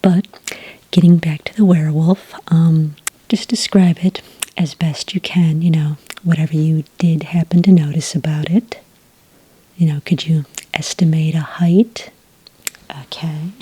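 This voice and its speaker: A woman speaking in a soft, whispery voice close to the mic, playing a polite, unhurried agent in an ASMR roleplay. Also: low voice, fairly even intonation, rough recording. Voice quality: breathy, whispery. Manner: gentle, unhurried, politely procedural. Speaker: ASMR roleplayer acting as a werewolf report agent.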